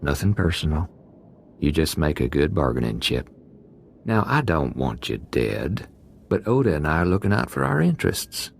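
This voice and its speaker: An actor playing a breezy, mercenary inmate gang boss in a video game, with a wry drawl and casual menace. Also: male voice, extremely low pitch, very animated, moderate pace, gravelly, slight background noise, heavily acted. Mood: sly, threatening.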